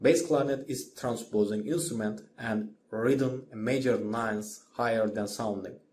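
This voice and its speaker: A man giving a scripted music lesson as a lecturer, in a measured, didactic manner. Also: lowish voice, steady tone, darkish tone, slight background noise. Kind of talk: scripted instructional narration.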